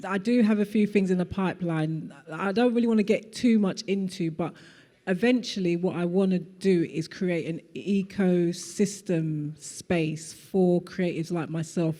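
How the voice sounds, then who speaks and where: A woman's voice: fairly low pitch, dark tone. A woman artist answering a question at a live panel talk, earnest and full of "like" fillers. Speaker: guest artist. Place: event hall. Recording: long reverb of a hall.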